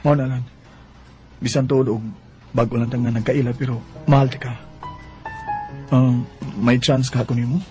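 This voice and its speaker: A man acting in a Bisaya radio drama as a smooth-talking, evasive young delivery driver with a Cebuano Filipino accent, given to exaggerated reactions and muttered asides. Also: mid-range voice, little pitch movement, moderate pace, long pauses, music in the background, natural voice. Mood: tender. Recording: noisy radio drama recording.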